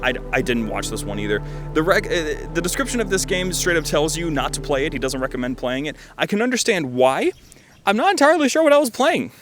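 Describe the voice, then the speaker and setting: A man's voice: fairly high pitch, very animated, fairly quick, music in the background. A man giving casual, joking commentary over gameplay, rambling and slangy with a self-deprecating manner. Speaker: video game reviewer and host.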